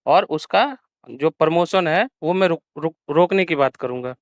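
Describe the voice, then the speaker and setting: Man, crisp clean voice, higher register, dry sound, political interviewer. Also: measured pace, dry recording. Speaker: news interviewer.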